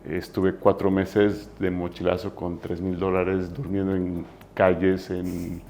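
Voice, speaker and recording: A Mexican man in his forties with a Mexican accent, a guest telling his story in a relaxed, self-deprecating way. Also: deep voice, takes it slowly, gravelly, slight background noise. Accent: Mexican Spanish. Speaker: entrepreneur guest. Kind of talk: casual interview storytelling.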